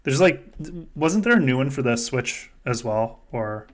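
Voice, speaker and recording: A man's voice: medium pitch, expressive, unhurried. A man hosting a podcast game in casual, joking chat, swearing freely as he goes. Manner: sweary, jokey. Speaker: podcast host running a fan survivor game.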